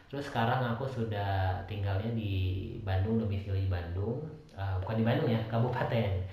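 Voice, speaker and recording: Young male podcaster, softened Medan accent, lowish voice, rambling solo chat, very echoey room. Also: moderate pace. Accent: Medan Indonesian, softened. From Medan, North Sumatra. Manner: rambling, many fillers and restarts. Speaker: podcast host. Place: indoors at home, late night.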